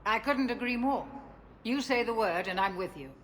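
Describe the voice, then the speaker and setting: A woman's voice: a little high, normal speed, fairly bright tone, a little hiss or hum, natural voice. A woman playing a loyal, dryly witty ship's doctor in video game dialogue, polite in manner. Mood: loyal, wry.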